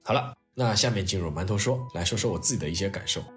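A man hosting a history storytelling podcast, reading scripted narration in an expressive, reflective way with rhetorical questions. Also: sits low, fast. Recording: music playing behind the voice. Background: soft piano and orchestral music.

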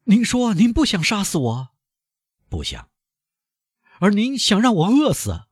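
A man voicing a frantic aging male banker held captive, husky and shrill, pleading and desperate in a storybook reading. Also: wildly swooping pitch, unhurried, no room sound, studio quality, exaggerated voice.

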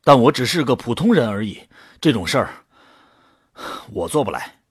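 A man's voice: medium pitch, sing-song, moderate pace, breathy edge, dry recording, studio quality. A man acting in an audio drama as an awed, unsure ordinary volunteer who narrates his own story. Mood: reluctant. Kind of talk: dramatic scripted narration and dialogue.

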